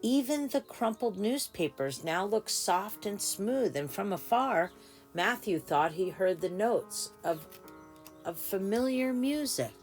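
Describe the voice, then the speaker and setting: A woman reading a children's storybook aloud with deliberate, dramatic phrasing for small children. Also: mid-range voice, lively intonation, a little mellow, soft background music. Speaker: story time reader. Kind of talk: expressive children's storybook narration.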